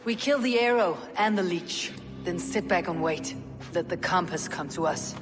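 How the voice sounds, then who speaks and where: Actress doing a low voiced viking warrior woman, grim n measured, game cutscene, echoey hall w music. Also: expressive, natural voice. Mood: resolute. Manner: measured, grim, matter-of-fact. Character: stern, resolute female Viking warrior. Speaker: video game lead character. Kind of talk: dramatic game cutscene acting. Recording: reverberant stone hall, music underneath.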